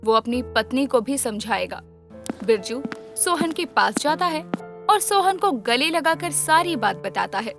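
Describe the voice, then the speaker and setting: High-pitched woman narrating a story. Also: expressive, a little slow, gravelly, over music.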